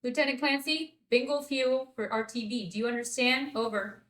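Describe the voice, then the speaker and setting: A woman playing a commanding military officer in a table read, crisp and direct. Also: a little high, fairly even intonation, normal speed, a bit bright, close to an everyday voice. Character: commanding female military officer, now retired.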